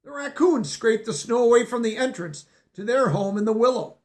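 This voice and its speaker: A man's voice: very high-pitched, lively intonation, normal speed, a little mellow. A man reading a picture book aloud with expression, stopping now and then to explain words for young listeners. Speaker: storybook reader.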